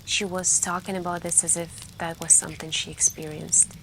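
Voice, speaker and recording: A woman doing soft-spoken ASMR storytelling roleplay as a girlfriend by a campfire, hushed and intimate, with long pauses and some whispering. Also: on the low side, level tone, creaky. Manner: hushed, drawn-out, pausing, intimate, with stretches of whispering. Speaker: roleplay storyteller playing a girlfriend on a camping trip. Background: campfire crackling and night ambience.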